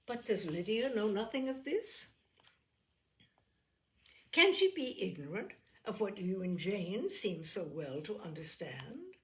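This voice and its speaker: A woman voicing a sensible, gently probing middle-aged Regency aunt in a novel reading, measured and formal. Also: mid-range voice, very animated. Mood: curious.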